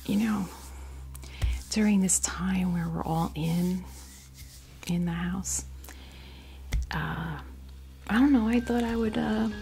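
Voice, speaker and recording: An American woman speaking in a soft, breathy near-whisper, chatting casually and hesitantly in an ASMR style close to a sensitive microphone. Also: fairly low pitch. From United States. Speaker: ASMR video host. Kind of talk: ASMR, casual unboxing chat.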